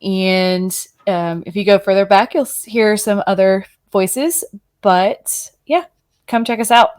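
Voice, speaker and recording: A woman's voice: mid-range voice, slow, a little mellow. Easygoing woman podcast guest. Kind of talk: casual podcast chat.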